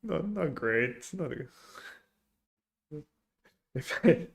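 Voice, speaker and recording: A man's voice: on the high side, animated, extremely slow, gravelly. A man live-streaming a card game, chatting casually with viewers and thinking his plays aloud. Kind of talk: live gameplay commentary, reading chat.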